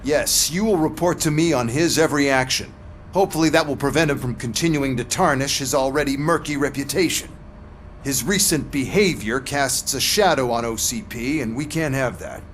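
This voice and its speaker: An actor playing a manipulative, smug police boss in a video game, with a sardonic, condescending manner. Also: male voice, medium pitch, normal speed, a little hiss or hum, close to an everyday voice. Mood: commanding. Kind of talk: scripted video game acting.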